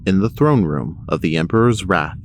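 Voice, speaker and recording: A man's voice: sits low, animated, moderate pace, slight background noise. A man narrating a superhero audio drama in a scripted storytelling style. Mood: measured. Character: male narrator of a superhero adventure.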